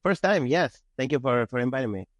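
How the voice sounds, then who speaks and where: A man from Argentina with an Argentine accent and Spanish as first language, telling his story casually and haltingly as a guest. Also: medium pitch, animated, no room sound, studio quality. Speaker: podcast guest, entrepreneur.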